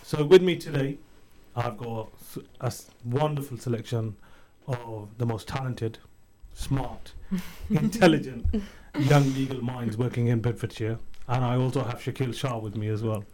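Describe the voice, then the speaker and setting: A man's voice: mid-range voice, normal speed, few pauses, dark tone, poor audio. A man hosting a community radio phone-in show, talking in a loose, chatty way with frequent ums and restarts. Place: radio studio.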